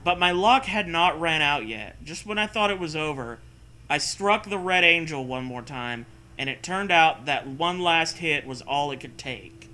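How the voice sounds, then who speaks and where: Guy reading a story out loud n mocking it, really sharp bright voice, kinda high, bit of background noise. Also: lively intonation, measured pace. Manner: sarcastic, swearing. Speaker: host reading and mocking fan fiction. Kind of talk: story read-aloud with mocking casual commentary.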